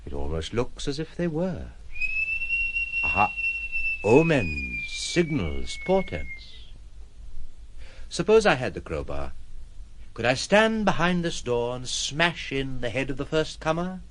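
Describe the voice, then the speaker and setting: An actor playing an ironic, world-weary gentleman with an urbane, theatrical manner in an audio drama. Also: medium pitch, sing-song, slow, long pauses. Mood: sardonic agitation. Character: ironic, world-weary gentleman recluse, gallant but fatalistic. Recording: clear background noise and ambience.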